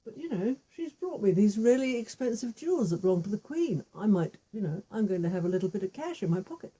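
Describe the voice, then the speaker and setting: A woman's voice: medium pitch, sing-song, quick pace, mellow, slightly rough, rough recording. A woman voicing a practical, sceptical male traveller in a folk tale, in a musing manner. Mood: pleased, confiding.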